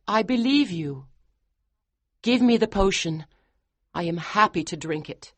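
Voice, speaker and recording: A man's voice: high-pitched, lively intonation, unhurried, a bit bright, no echo, voice pushed up, full character voice. A man doing a devoted, pleading young wife. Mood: quiet.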